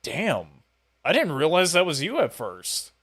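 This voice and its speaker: A man's voice: on the high side, wildly swooping pitch, a little slow, fairly bright tone, slightly rough. A man chatting as a podcast interviewer in a casual, rambling way over an online voice call. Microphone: voice call on Discord.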